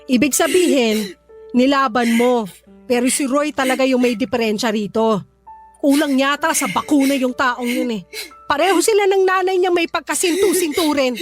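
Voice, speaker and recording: A woman's voice: high voice, normal speed, soft background music, lightly acted. A woman playing a protective, feisty mother in a radio drama, emphatic and reassuring toward her grown child. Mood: indignant, consoling.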